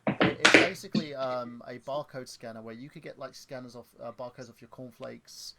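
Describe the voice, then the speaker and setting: A man in his thirties hosting a livestream, chatting casually in a halting way with lots of fillers and restarts. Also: mid-range voice, steady tone, creaky, sharp tone. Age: 30s. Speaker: stream host.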